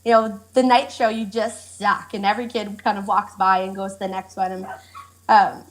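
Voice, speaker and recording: A woman's voice: medium pitch, level tone, moderate pace. A woman guest on a podcast talking candidly about driving monster trucks, in a good-humored, casual way. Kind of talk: casual interview chat.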